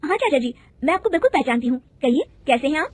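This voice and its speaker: A woman's voice: sits high, lively intonation, fast talker, voice pushed up. A woman voicing a polite, clever young wife who speaks hesitantly. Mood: earnest.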